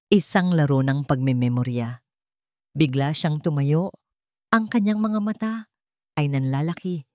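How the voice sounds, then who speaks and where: A woman's voice: deep voice, a little slow, long pauses, darkish tone, dry recording. A woman narrating a scripted story in a dramatic storytelling style.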